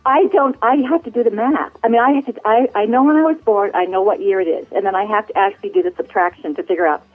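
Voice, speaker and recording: A woman's voice: mid-range voice, fast, few pauses. A woman in her sixties chatting casually as an interview guest, effusive and rambling, over a telephone. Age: 60s. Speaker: podcast guest, flight attendant. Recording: tinny phone-line sound.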